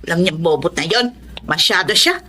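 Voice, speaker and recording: A woman's voice: fairly low pitch, lively intonation, fairly quick, sharp tone, a little hiss or hum. A woman playing a devoted, protective elderly grandmother who sells fish, in an earnest, emotive radio drama style. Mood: indignant. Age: older. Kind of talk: Filipino radio drama acting.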